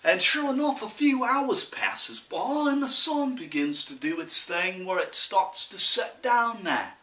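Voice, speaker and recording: A man playing an excitable young farm lad telling a panicked tale in a put-on mix of Australian, Irish and English accents, with theatrical, drawn-out emphasis. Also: sits high, voice pushed up, heavily acted. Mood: folksy, dramatic. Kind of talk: theatrical comic audition monologue. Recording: roomy camcorder sound, distant from mic.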